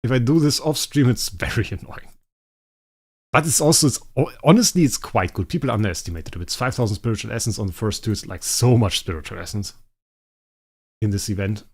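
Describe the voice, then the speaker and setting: A young man, a native German speaker, casually thinking aloud as he streams a game, his sentences often trailing off quietly. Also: lowish voice, expressive, a little fast, slightly rough. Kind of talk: casual livestream commentary.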